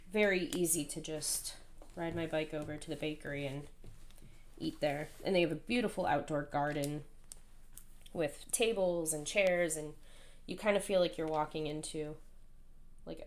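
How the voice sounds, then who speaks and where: A woman in her thirties with a hoarse voice, chatting casually and rambling while she plans. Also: deep voice, steady tone, normal speed, long pauses, a little hiss or hum. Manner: chatty, rambling, self-deprecating. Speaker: planner vlogger. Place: at home, indoors.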